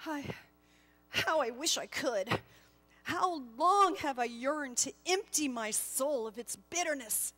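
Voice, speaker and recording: A woman playing a bitter, remorseful old male wise man in a storybook, halting and full of yearning. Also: high voice, expressive, sharp tone, big-room sound, faint music behind, full character voice. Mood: anguished, hesitant.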